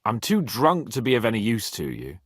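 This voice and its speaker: A man voicing a spirited young nobleman in a video game, with an expressive, irreverent, bossy but loyal manner. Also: fairly low pitch, brisk, slightly rough, no echo, clean studio sound, exaggerated voice. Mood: grave, subdued. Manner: expressive, irreverent, swearing freely. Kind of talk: video game character voice acting.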